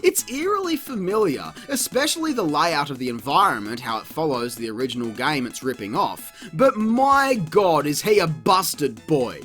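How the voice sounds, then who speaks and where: A man's voice: a little high, a bit bright. A man giving comedic, partly scripted video review commentary in an exclamatory, sarcastic, crude way. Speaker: video game reviewer, host.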